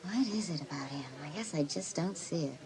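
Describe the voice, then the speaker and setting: A woman's voice: fairly low pitch, lively intonation, a little fast, darkish tone. An actress playing a friendly, teasing, supportive teenage girl in an audio drama. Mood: casual, teasing.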